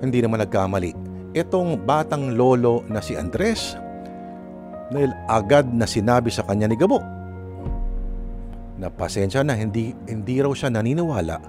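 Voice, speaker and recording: A male radio host tells a story over a clear music bed. His mid-pitched voice swings widely, with a chatty, dramatic manner that keeps turning to the listeners. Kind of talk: conversational radio hosting and storytelling.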